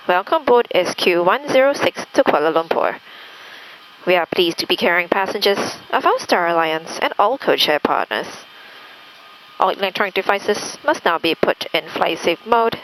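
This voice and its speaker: A woman's voice: lowish voice, sing-song, moderate pace, fairly bright tone, a little hiss or hum, poor audio. A woman working as cabin crew reads a scripted announcement to airline passengers.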